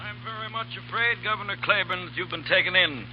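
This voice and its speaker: A man's voice: sits high, fast, soft background music, close to an everyday voice. Man acting stern senator, accusing, 1930s radio play, muffled. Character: stern hostile middle-aged senator.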